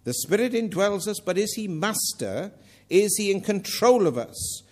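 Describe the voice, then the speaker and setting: A man's voice: sits high, sing-song, measured pace, darkish tone. An older man with a Welsh accent preaching a sermon, earnest with self-mocking humour. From Wales.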